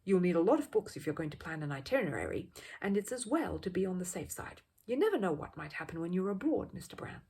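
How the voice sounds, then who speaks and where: A woman voicing a kindly, courteous older gentleman in a storybook, encouraging a friend. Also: on the low side, big pitch swings, fast talker, husky, heavily acted. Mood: kindly, pleased.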